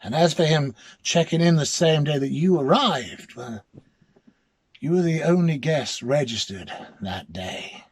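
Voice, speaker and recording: A man's voice: fairly high pitch, lively intonation, unhurried, breathy edge, no room sound, pitched above the performer's own voice, exaggerated voice. A man playing an exasperated, dismissive hotel clerk in a story, firm and formal. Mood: firm denial.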